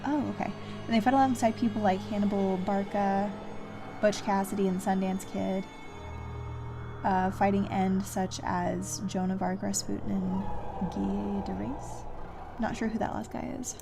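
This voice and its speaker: A woman with a noticeably creaky, gravelly edge to her voice chats casually through a video game. She speaks in short, self-directed reactions at an ordinary female pitch, with game music clearly playing behind her. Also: level tone, unhurried. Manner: talks to herself in short reactions. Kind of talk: casual gameplay commentary.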